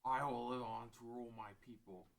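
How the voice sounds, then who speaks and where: Man acting as grave fantasy warrior, medium-pitched voice, solemn, scripted table read. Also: steady tone, fairly quick, lightly acted.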